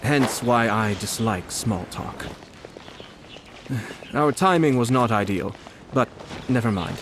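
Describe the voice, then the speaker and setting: Composed, refined young lord. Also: male voice, mid-range voice, lively intonation, background noise. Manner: polite, measured, formal. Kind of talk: video game character dialogue.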